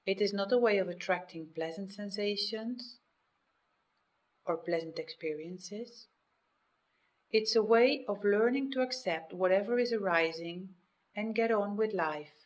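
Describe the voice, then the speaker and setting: Female meditation guide, medium pitch, scripted instruction delivered in measured phrases with pauses.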